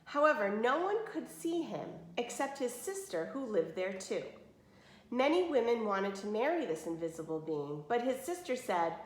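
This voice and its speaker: A woman storyteller reads a picture book with a highly animated, fairly high voice. She breaks off for plain explanatory asides, and the room sounds echoey. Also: moderate pace. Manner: explains words plainly to listeners. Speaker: read-aloud storyteller. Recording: roomy, echoey indoor sound.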